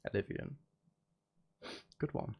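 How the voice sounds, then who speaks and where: A man's voice: slow, muffled recording. A man livestreaming casually, rambling and thinking aloud as he chats with viewers. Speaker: livestreamer making music live. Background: music and synth sounds.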